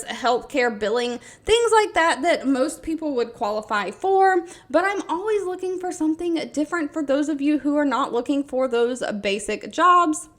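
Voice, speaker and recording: A woman's voice: high voice, a bit bright. A woman hosting an informational video, explaining details plainly in a semi-scripted narration. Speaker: video host sharing job listings.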